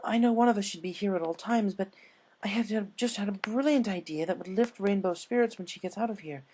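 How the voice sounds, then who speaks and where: A man's voice: high-pitched, brisk, darkish tone, a little hiss or hum, pitched above the performer's own voice, close to an everyday voice. A man voicing a refined, eager female unicorn pony with a polite, earnest manner in a story reading.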